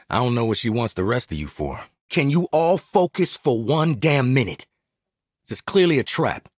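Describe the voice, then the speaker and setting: A gruff, sardonic American assassin, husky. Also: male voice, medium pitch, sing-song, a little slow, dry recording, studio quality. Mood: irritated, sardonic. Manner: blunt, sarcastic, commanding. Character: gruff, sardonic, impatient adult male assassin. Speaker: video game voice actor. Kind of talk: video game character dialogue.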